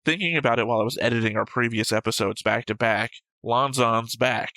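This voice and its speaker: A man's voice: medium pitch, brisk, pushed and bright, dry recording. A man co-hosting a reaction show, chatting casually about a series in a flippant, argumentative way. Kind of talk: casual discussion of an anime series.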